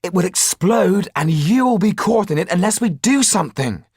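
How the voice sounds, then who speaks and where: A man's voice: high voice, expressive, moderate pace, darkish tone, slightly rough, no room sound, clean studio sound, voice pushed up, heavily acted. A man voicing an earnest young hero with an urgent, pressing manner in an audiobook. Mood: desperate.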